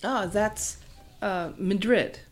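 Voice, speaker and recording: A woman's voice: medium pitch, slow, natural voice. An actress playing a friendly young female college student from Texas with a Texan accent, talking in a chatty, hesitant way.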